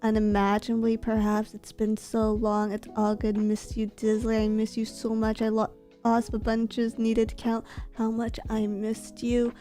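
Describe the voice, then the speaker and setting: A sick woman streamer speaks softly right into a close mic, her husky voice congested and mellow. She chats casually and reads out donations with a quiet, worn-down delivery. Faint music plays under her. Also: fairly high pitch, monotone, measured pace. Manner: soft-spoken, mic held close. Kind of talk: casual chat reading donations. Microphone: close desk mic.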